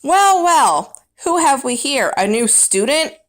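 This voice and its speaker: A woman's voice: sits high, sing-song, slow, a bit bright, pitched above the performer's own voice, heavily acted. A woman voicing a friendly, jovial male night janitor in a storybook, hearty and playful.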